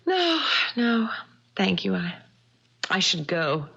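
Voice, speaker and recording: Husky, poised wronged wife, radio drama. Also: female voice, medium pitch, very animated, slow, fairly bright tone, exaggerated voice. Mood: flustered, hesitant. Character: younger wronged wife, a cool, scheming, proud novelist. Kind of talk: 1970s radio mystery drama acting. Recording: slightly dull vintage radio recording.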